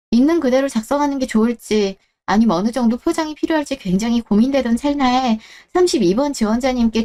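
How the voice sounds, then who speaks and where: A woman's voice: a little high, dark tone, close to an everyday voice. A woman acting out a young woman at her first job interview, polite and earnest, in a story radio drama. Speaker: job applicant.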